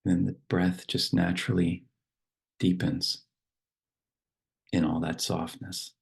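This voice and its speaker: A man leading a guided meditation and reading verse, speaking slowly and softly with long pauses. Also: low voice, level tone, gravelly, slightly rough. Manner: slow, unhurried, soft instruction with long pauses. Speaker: meditation teacher.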